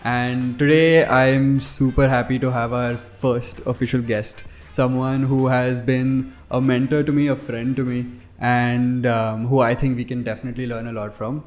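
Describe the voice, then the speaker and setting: A man's voice: medium pitch, flat delivery, normal speed, few pauses. A young man hosting a startup podcast, introducing a guest and asking questions in an upbeat, friendly way. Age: young adult. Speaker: podcast host. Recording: roomy echo with faint music.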